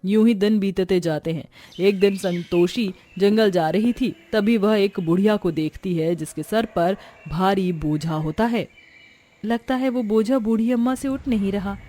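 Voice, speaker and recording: A woman's voice: medium pitch, measured pace, warm tone, soft background music. A woman reading scripted storybook narration of a moral tale.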